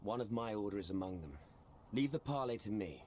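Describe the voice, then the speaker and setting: An actor playing a weary, principled knight in a video game, grave and restrained, with a husky voice. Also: male voice, on the low side, expressive, brisk, a little mellow, slight background noise, lightly acted. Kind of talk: video game cutscene acting.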